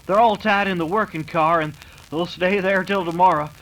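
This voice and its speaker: An actor playing an earnest, bashful young American cowboy hero in an old-time radio western. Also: male voice, sits high, normal speed, a bit bright, rough recording. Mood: confident. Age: young adult.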